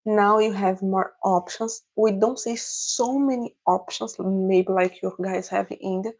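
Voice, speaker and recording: A young Brazilian woman with a Portuguese accent, answering viewers casually on a livestream in careful, halting English. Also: medium pitch, fairly even intonation, darkish tone. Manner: halting, searching for English words. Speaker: livestream host.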